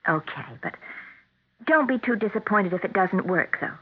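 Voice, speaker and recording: Concerned sensible wife, lively pitch swings, earnest questioning, vintage radio drama, tinny narrow broadcast sound. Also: female voice, normal speed, husky. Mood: doubtful. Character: concerned, sensible wife caught up in murder mystery. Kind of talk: 1970s radio drama acting.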